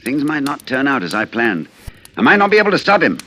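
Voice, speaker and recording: Bitter betrayed husband, dramatically swinging voice. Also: male voice, mid-range voice, very animated, bright, forceful tone, slight background noise. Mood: tense, falsely tender. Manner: brooding, deliberate confessional delivery with dramatic pauses. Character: betrayed husband, stage performer, bitter, quietly calculating narrator. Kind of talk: old-time radio suspense acting. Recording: vintage radio broadcast recording with music.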